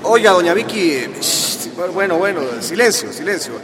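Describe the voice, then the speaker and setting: A man's voice: high voice, animated, normal speed, noisy background. An actor playing a pompous, irritated council chairman, scolding and condescending, in a radio drama. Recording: long hall reverb.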